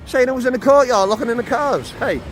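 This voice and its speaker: A man's voice: very high voice, quick pace, a little hiss or hum. A man with a Scouse accent arguing with police officers in the street, combative and repetitive, firing accusations. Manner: combative, repeats himself, accusing. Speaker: auditor filming police. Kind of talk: heated street confrontation. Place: outdoors by a police station.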